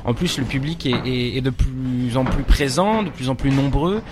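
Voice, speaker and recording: A man's voice: mid-range voice, normal speed, background noise. A young man from France, an actor and stage director, answering interview questions with many fillers and restarts.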